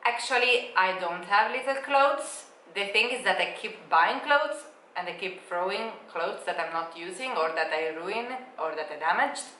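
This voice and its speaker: A woman's voice: mid-range voice, animated, moderate pace, hardly any pauses, pushed and bright, echoey room, slight background noise, poor audio. A woman in her twenties, a native Italian speaker, chatting casually on a travel vlog and laughing often. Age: 20s. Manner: bubbly, laughs often, non-native phrasing. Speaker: travel vlogger. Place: holiday apartment in Bali.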